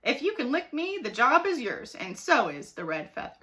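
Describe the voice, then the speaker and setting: A woman's voice: fairly high pitch, sing-song, normal speed, sharp tone. Woman doing a snarling, ornery riverboat tough. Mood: menacing. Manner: snarling, boastful challenge. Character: mean, ornery tough male riverboat boss.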